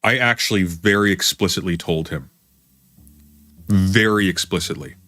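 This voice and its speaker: Deep-voiced man, defensive and emphatic. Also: normal speed, bright, forceful tone. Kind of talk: casual live commentary. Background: music underneath.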